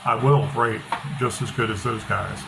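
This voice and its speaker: A middle-aged author talking casually with a loud, bass-heavy voice, blunt and opinionated, often repeating himself. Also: male voice, steady tone, moderate pace, creaky. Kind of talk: casual monologue. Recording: noisy background hiss.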